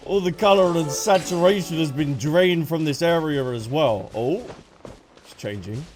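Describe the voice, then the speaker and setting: A man's voice: fairly high pitch, lively intonation, measured pace, a little mellow, slight background noise. A man giving casual playthrough commentary, chatty and full of exclamations and reactions. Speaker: gaming content creator. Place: game audio and music behind voice.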